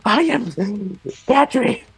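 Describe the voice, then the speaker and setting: A man playing a flustered, exasperated seventy-three-year-old man who scolds haltingly in a tabletop roleplay. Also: very high-pitched, animated, gravelly, soft background music, voice pushed up, full character voice.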